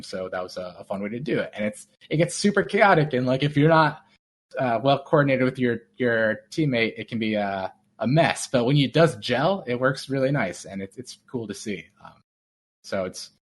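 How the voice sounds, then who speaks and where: Guy dev rambling on about his game, lots of likes and ums, kinda low voice. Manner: rambling, many fillers and restarts. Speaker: game developer guest. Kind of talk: casual interview talk.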